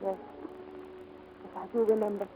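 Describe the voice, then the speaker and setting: A woman's voice: medium pitch, level tone, moderate pace, narrow, tinny audio, a little hiss or hum, lightly acted. Actress as a lovestruck woman flyer, vintage radio. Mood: tender, wistful. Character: lovestruck, brave woman aviator, tender and resolute. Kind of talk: 1940s radio drama acting. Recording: vintage 1940s radio recording, faint music.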